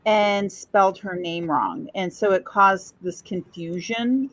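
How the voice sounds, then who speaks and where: A woman's voice: medium pitch, takes it slowly, fairly bright tone, dry recording. Woman from Chicago with a dry, close sound. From Chicago, USA. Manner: rambling, trailing off mid-sentence and circling back. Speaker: podcast guest. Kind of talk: casual storytelling conversation.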